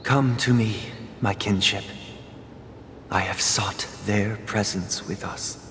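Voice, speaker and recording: A composed, priestly young leader from a video game. His lowish voice moves slowly and formally, ringing through a long reverb over a faint rumble. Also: fairly even intonation, fairly bright tone. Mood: solemn, calm. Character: composed, solemn young male religious leader hearing confessions. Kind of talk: formal scripted game dialogue.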